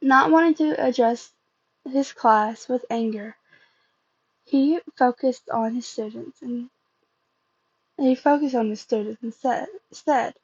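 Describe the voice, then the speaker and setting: A woman reading fan fiction aloud as an amateur, in a halting way, often stumbling and repeating phrases. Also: fairly high pitch, breathy edge, no room sound, dull audio.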